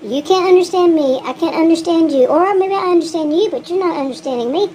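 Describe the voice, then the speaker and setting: A woman's voice: extremely high pitch, fast talker, dark tone, a little hiss or hum. A woman with a thick Texan accent, vlogging in a rambling, frank monologue, often laughing and restarting her sentences. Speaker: gig delivery vlogger.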